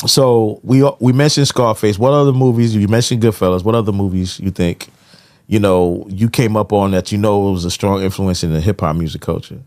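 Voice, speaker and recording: Low-voiced American man, casual podcast host. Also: fairly even intonation, fairly quick, darkish tone. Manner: slangy, full of conversational tags like "you know". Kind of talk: casual conversational podcast talk.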